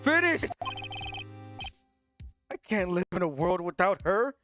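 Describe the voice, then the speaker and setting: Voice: medium pitch, very animated, slow pace, muffled recording, music in the background. A game streamer voicing a melodramatic, despairing young male defendant from a visual novel. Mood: anguished, pleading. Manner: melodramatic, whiny, exclaiming. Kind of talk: visual novel game dialogue read aloud.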